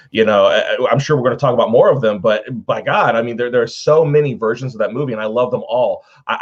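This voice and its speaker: A man's voice: mid-range voice, highs cut off. A man talking casually and emphatically about films as a panelist, gushing like a devoted fan. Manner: emphatic, effusive fan talk.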